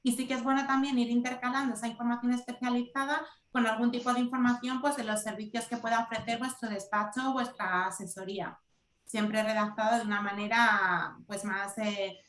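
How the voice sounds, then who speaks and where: A woman with a slightly high voice lays out her advice in a measured, explanatory way, circling back to stress her points. She speaks over a video call, and her voice rings a little in an echoey room. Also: a bit bright. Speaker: corporate communications lead. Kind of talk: webinar panel answer.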